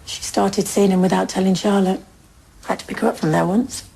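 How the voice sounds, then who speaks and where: A woman's voice: mid-range voice, fairly even intonation, brisk, creaky, mellow, husky, poor audio. A woman playing a caring but indignant middle-aged mother in an audio drama.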